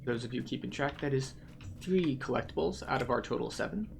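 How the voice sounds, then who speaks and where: A man's voice: mid-range voice, expressive, brisk, creaky, slight background noise. A man giving a methodical, explanatory guided commentary through a video game as the host.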